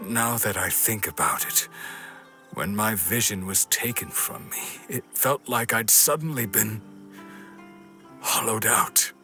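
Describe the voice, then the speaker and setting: Game role: wistful middle-aged loner, very unhurried, slightly low, measured and pensive. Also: male voice. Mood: hollow, resigned. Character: wistful, weary man who waited thirty years for love. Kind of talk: video game cutscene acting. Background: soft game music.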